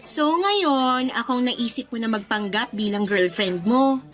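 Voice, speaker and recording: A young woman as an actress plays her with a high voice, the teasing, incredulous best friend of a radio drama. She sounds expressive and easily exasperated, over faint music. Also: measured pace. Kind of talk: radio drama dialogue, colloquial Tagalog.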